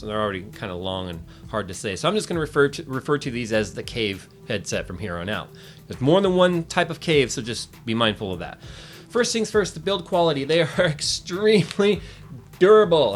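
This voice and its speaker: A man talks through a casual tech review over faint music. He is chatty and jokey, often breaking off his own sentences to add asides. His voice sits at an ordinary middle pitch. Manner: chatty, jokey, self-interrupting. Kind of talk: casual product review to camera.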